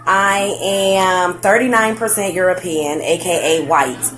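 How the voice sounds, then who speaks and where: A young woman, chatting excitedly on a live stream, full of slang and "like"s and "um"s. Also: lowish voice, slow pace, slight background noise. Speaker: vlogger. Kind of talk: casual facebook live chat.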